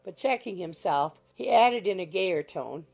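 A woman narrates a literary audiobook from a script. Her voice is mellow and soft-edged, with heavy creak running through it. Quiet music plays underneath. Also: fairly low pitch, animated, a little slow, low-quality recording. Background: faint music.

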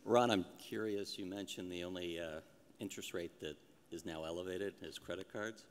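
A man moderating a conference panel, putting measured questions to the speakers. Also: low-pitched. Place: large conference hall, at a lectern. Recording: hall reverb, faint room noise.

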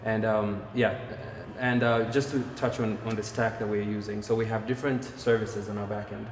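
Man speaking flatly in an echoey room. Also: lowish voice, slight background noise. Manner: measured, with frequent fillers. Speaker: healthtech co-founder. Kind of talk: technical interview answers. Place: bright office by large windows, indoors.

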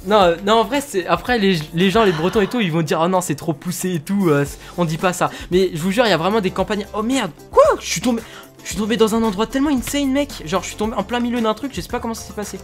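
A man's voice: high-pitched, quick pace, faint music behind. A man from France chatting casually and laughing as he plays a video game. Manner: chatty, laughs often, fillers. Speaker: gaming youtuber. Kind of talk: casual gaming commentary.

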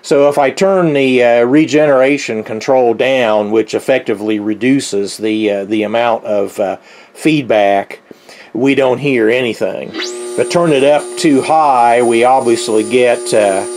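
A middle-aged man giving an unscripted, explanatory demonstration as a hobbyist, speaking haltingly with a gravelly voice. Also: medium pitch, darkish tone. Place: indoors, at a tabletop radio. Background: shortwave radio playing faintly.

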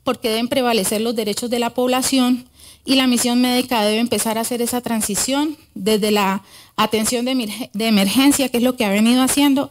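A middle-aged woman giving an academic conference talk at a podium microphone in a large auditorium, earnest and often pausing to correct herself. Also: a little high. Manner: earnest, with frequent hesitations and self-corrections. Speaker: conference speaker. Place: large wood-panelled auditorium.

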